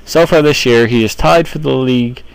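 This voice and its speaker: A man's voice: mid-range voice, moderate pace, vocal fry, clean tone, dry recording, poor audio. A man delivering baseball team commentary in the manner of an announcer addressing his audience. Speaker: sports newsreel commentator.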